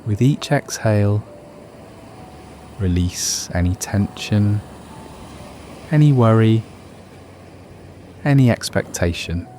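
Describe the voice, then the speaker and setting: A man narrating a guided meditation in a soothing, unhurried, measured manner. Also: lowish voice, lively intonation, warm tone, a little hiss or hum.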